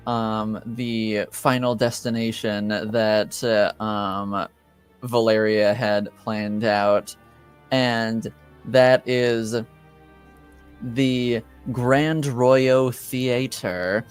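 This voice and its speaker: Male game master, unhurried and hesitant, smooth clear voice, tabletop fantasy narration. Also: on the low side, steady tone, faint music behind.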